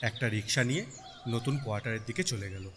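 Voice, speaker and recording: A man narrating a story in an expressive, dramatic storytelling style with sympathy in his delivery. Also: mid-range voice, fast. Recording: long reverb, background night insect noise.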